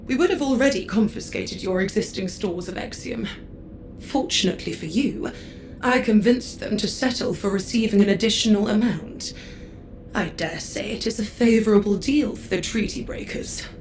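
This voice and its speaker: An actress playing a haughty woman envoy who speaks slowly in formal, condescending phrases. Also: medium pitch, close to an everyday voice. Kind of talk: game cutscene voice acting.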